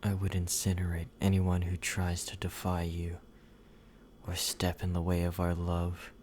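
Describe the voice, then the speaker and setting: A man voicing a possessive yandere vampire in an ASMR roleplay, speaking softly and intimately, sometimes whispering. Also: low-pitched, completely flat delivery, slight background noise. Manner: soft, intimate, slow and controlling, slipping into whispers. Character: possessive male yandere vampire, obsessive and menacing.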